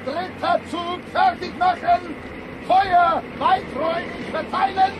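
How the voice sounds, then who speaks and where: A high, strained-register male army officer delivers clipped field reports and orders in a radio drama, amid clear outdoor engine noise. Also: steady tone, slow pace, dull audio, a loud crowd. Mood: commanding. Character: obedient, barking military officer in the field.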